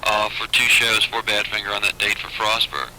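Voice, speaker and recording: Bright-voiced man on an old phone tape. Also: fairly low pitch, flat delivery, normal speed. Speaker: booking agent on a business call. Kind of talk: business phone conversation. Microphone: telephone. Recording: noisy old taped phone call.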